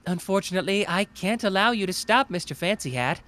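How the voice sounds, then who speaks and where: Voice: mid-range voice, expressive, a little fast, a bit bright, a little hiss or hum, close to an everyday voice. A performer playing a cocky, playful young male genius detective in an anime roleplay, taunting and analytical in a theatrical way. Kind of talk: anime roleplay audio drama, deduction monologue.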